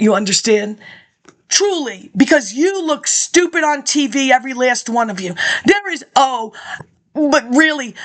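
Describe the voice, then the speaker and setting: A woman's voice: high-pitched, a bit bright. A middle-aged woman rambling through a personal monologue, indignant and laughing often as she jumps from topic to topic.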